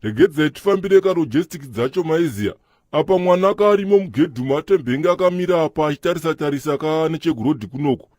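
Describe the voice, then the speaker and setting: A man doing a fast-talking Rasta hustler. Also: on the high side, lively intonation, a little mellow, no echo, natural voice. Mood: scheming. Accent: Zimbabwean. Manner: fast, slangy, scheming. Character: streetwise young male Rasta fixer. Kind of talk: cartoon voice acting.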